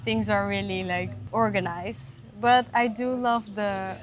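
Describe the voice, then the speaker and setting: A young woman talks through her answers slowly and thoughtfully, often laughing and letting her words fade out at the ends. Her pitch sits in an ordinary middle range for a woman. A faint hiss runs under the recording. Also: steady tone. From Netherlands. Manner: reflective, laughs often, soft trailing endings. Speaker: interview guest. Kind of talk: casual interview conversation.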